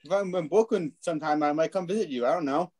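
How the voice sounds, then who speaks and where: A man's voice: a little high, fast, a little mellow. A young man chatting casually as a cast member on a video call. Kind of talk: casual group chat. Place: indoor room on a video call.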